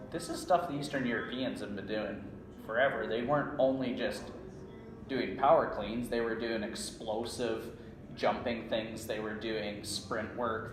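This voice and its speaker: A man's voice: medium pitch, noisy background. A Canadian-accented man, a coach being interviewed, explaining things conversationally and often checking in with "right?". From small-town Saskatchewan, Canada. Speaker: strength coach, interview guest. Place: indoor room at a conference. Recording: roomy echo, faint background ambience.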